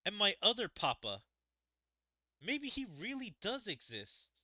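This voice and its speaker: A man's voice: high voice, wildly swooping pitch, measured pace, sharp tone, dry recording, clean studio sound, pitched above the performer's own voice, heavily acted. A man voicing an earnest, emotional young daughter from a fantasy game. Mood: wistful, uneasy. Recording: game music behind the voice.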